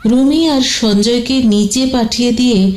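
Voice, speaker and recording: A woman's voice: fairly high pitch, a little slow, darkish tone, echoey room. A woman narrating a scripted detective audio story in a dramatic storytelling style.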